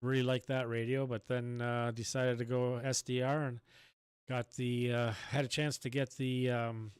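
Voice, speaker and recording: A man chatting casually as an amateur radio operator, talking in a halting, rambling way. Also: mid-range voice, flat delivery, clean tone, dry recording. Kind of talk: casual chat over ham radio.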